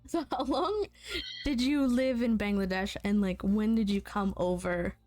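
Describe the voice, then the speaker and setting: A woman's voice: medium pitch, measured pace, a little mellow, faint music behind. A young adult woman hosting a casual interview, playful and teasing, laughing often. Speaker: interview host.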